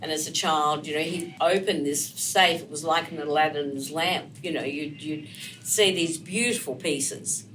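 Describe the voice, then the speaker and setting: Bright older Australian woman, slightly distant. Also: sits low, unhurried, slight background noise. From Melbourne, Australia. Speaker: podcast guest, immigration lawyer. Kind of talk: conversational interview answers.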